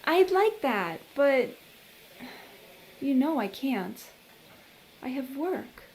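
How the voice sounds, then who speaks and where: Earnest, high-pitched young woman. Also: very animated, drawn-out words, a little mellow, slight background noise, exaggerated voice, rough recording. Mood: wistful. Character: earnest, reserved young woman, dutiful kitchen servant. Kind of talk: animated visual novel acting. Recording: music behind the voice.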